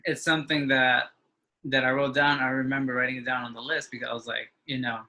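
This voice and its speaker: Halting young man with bright voice. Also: mid-range voice, level tone. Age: 20s. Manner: halting, frequent restarts. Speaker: interview guest, engineering student. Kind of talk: casual conversation.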